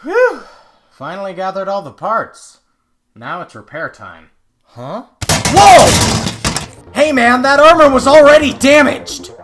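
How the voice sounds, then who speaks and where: A man playing a cocky, excitable young creature scavenger in an animated comic dub, talking to himself and exclaiming freely. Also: extremely high pitch, slow pace, slight background noise, heavily acted. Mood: startled, fighting. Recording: music behind the voice.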